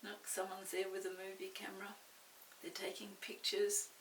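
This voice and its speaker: An older woman reading a story aloud to young children, expressive, pausing to explain and ask the listener questions. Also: medium pitch. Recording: roomy, distant mic with faint hiss.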